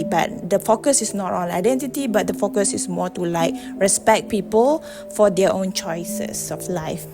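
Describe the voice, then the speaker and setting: Blunt Malaysian woman vlogging over music. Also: medium pitch. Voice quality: blunt, profane, emphatic. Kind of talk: casual direct-to-camera monologue.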